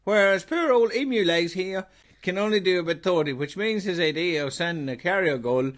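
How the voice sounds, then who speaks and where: Man voicing a high, pedantic know-it-all. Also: brisk, a bit bright. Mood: smug. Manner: pedantic, mock-scholarly. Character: pedantic know-it-all castaway reciting statistics. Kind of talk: scripted comedy podcast acting.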